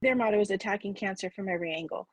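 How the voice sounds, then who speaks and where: A woman volunteer giving an informal news interview, rephrasing haltingly as she goes. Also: medium pitch, no echo. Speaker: charity volunteer.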